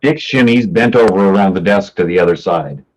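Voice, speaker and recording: Low-voiced man reading stage directions. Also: brisk, crowd noise, rough recording. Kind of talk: scripted narration of stage directions.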